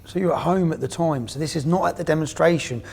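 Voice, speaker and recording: Guy presenting a documentary, high voice, punchy short phrases, narrating and asking questions. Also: fast, vocal fry, darkish tone, breathy edge.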